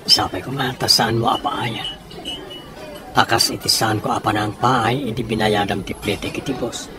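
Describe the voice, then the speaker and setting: A man's voice: mid-range voice, steady tone, normal speed, noisy background, close to an everyday voice. A man acting as a generous, courting benefactor in a radio drama, speaking earnestly and persuasively. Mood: earnest, grateful. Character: courting, generous male benefactor of a young woman.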